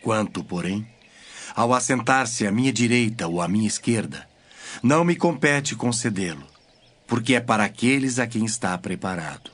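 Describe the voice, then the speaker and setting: An actor with a Brazilian accent playing a solemn, authoritative male teacher in a measured, declamatory scripture drama. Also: lowish voice, lively intonation, a bit bright, breathy edge. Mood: calm authority. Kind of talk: dramatized scripture reading in formal Portuguese. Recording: music bed behind the voice.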